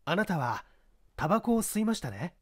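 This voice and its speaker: Male reader as male detective, composed, polite, measured, persistent questioning, audiobook drama. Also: sits high, sing-song, breathy edge, pitched below the performer's own voice, heavily acted. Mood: inquisitive.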